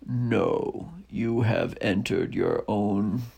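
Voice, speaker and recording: Man doing a greedy dragon, deep, slow, gravelly, ominous, bedtime story. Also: dark tone, heavily acted. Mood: menacing. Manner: slow, low, ominous pronouncements. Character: huge greedy gold-hoarding dragon, menacing. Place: home, at bedtime.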